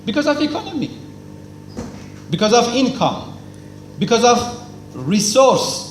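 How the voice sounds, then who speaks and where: A man's voice: extremely high pitch, big pitch swings, unhurried. A man giving a formal speech at a cultural celebration, lecturing emphatically in simple non-native English, asking questions and then answering them himself. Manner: lecturing, asks and answers his own questions, emphatic repetition. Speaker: speaker at a cultural event. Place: hall at a celebration. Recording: echoey hall with faint noise.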